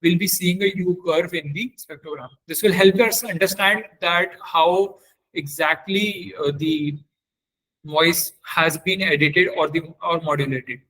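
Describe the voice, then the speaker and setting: A man teaching in a webinar, demonstrating software step by step and often halting to search for words. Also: a little high, rough recording. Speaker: webinar trainer.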